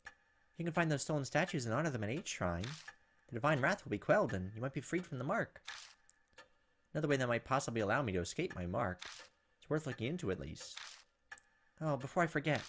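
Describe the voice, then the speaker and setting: A man's voice: medium pitch, lively intonation, quick pace, long pauses, fairly bright tone, slight background noise. A man hosting a gaming video, reading visual novel text aloud and adding casual asides. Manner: slips into acted character voices between narration. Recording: game music behind the voice. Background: video game music.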